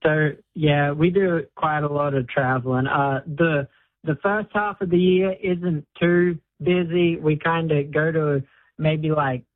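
A man in his twenties with an Australian accent, a guest talking in a relaxed, modest way with many fillers over the phone. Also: on the high side, unhurried, dry recording. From Queensland, Australia. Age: 20s. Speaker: guest bull rider. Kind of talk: phone interview on radio. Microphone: phone line. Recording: tinny phone line.

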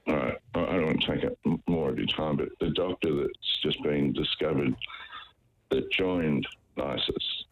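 A man phoning a radio show, giving his opinions in a hesitant, halting way. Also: extremely low pitch. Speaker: radio phone-in caller. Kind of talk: casual opinion talk. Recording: dull, band-limited phone call.

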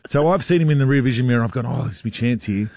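A man with a deep voice tells a story on breakfast radio, chuckling as he goes. His voice is a little husky and sits at a middling pitch. It sounds like a studio microphone. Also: rapid-fire, a little mellow, no echo. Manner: laughing while telling a prank story. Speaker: radio co-host. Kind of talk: storytelling banter.

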